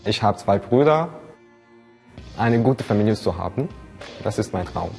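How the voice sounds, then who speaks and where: Egyptian-accented student, male, twenty, lowish voice over a music bed. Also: animated, a little slow, creaky, a little mellow. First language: Arabic. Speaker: student contestant. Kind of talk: casual self-introduction.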